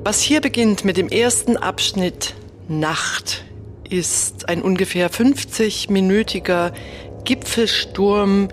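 A woman hosting a podcast in a conversational style, with articulate, deliberately phrased introductions and questions. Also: mid-range voice, soft background music. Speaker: podcast host, orchestra dramaturg.

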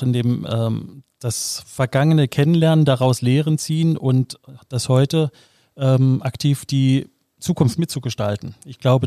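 A man's voice: mid-range voice, mellow, dry recording, studio quality. A man answering panel questions as a guest, thoughtful and reflective.

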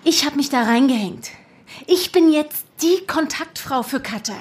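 High-voiced, driven young woman agent. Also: expressive, unhurried. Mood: defensive, insistent. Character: driven, earnest young female undercover agent among activists. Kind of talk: naturalistic audio drama acting. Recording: clear background noise and ambience.

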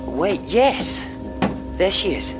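A man's voice: sits high, sing-song, takes it slowly, heavily acted. Wry policeman, music behind. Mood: tense, observing. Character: sardonic policeman and war veteran, sceptical sidekick. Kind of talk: audio drama dialogue.

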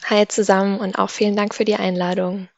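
A woman's voice: medium pitch, level tone, brisk, creaky, highs cut off. A woman in her twenties talking casually as a guest on a discussion panel. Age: 20s. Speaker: panel guest, city councillor. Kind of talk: casual interview talk.

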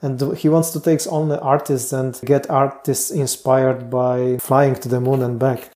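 Polish man, non-native English, warm low-key delivery, flat intonation, frequent restarts. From Poland. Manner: thoughtful, frequent restarts and pauses. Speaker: guest, Mars mission candidate. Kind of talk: interview answers.

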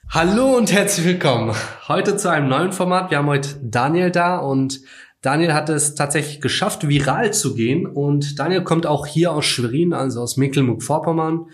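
A man's voice: a little high, normal speed. A man hosting a podcast, introducing a guest and asking questions with short upbeat affirmations. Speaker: podcast host. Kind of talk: podcast interview.

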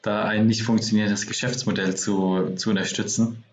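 A man's voice: on the low side, level tone, normal speed. A man hosting a podcast interview, talking loosely with many fillers as he sums things up. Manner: summarizing, loose and chatty with fillers. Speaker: podcast host. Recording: dull, roomy sound with faint noise.

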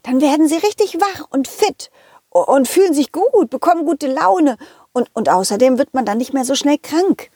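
A woman's voice: high voice, expressive, moderate pace, dark tone, no room sound, clean studio sound. A woman voicing a confident, loyal male dog in a children's story, encouraging, eager and friendly.

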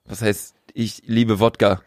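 A young man co-hosting a casual podcast, slangy and quick to laugh, rambling through his points. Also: fairly low pitch, little pitch movement, darkish tone, no echo, clean studio sound. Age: young adult. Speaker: podcast co-host. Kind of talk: casual banter.

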